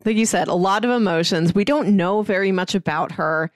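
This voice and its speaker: A woman speaks as a podcast co-host in a relaxed, conversational review. Her voice sits at a medium pitch and is recorded very dry, with hardly any room around it. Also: fairly quick, no echo. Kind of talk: casual review discussion.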